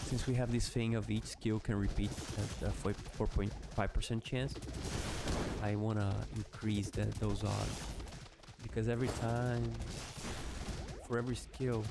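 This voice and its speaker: A man's voice: on the low side, vocal fry, background noise. A man with a Brazilian accent, a native Portuguese speaker, giving casual, rambling, self-mocking commentary while playing a game and swearing freely. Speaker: gaming video creator.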